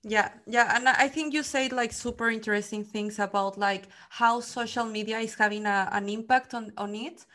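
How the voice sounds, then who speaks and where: A woman's voice: fairly high pitch, steady tone, moderate pace, few pauses, a bit bright. Mexican woman hosting a chat podcast. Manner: friendly, many fillers and restarts. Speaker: podcast host. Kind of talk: casual interview chat.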